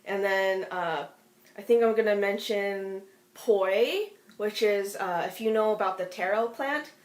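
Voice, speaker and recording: A woman's voice: mid-range voice, unhurried. A woman from Hawaii, a musician, chatting casually between songs in a rambling way, with restarts and light laughs. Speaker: musician and performer. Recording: roomy echo with faint ukulele.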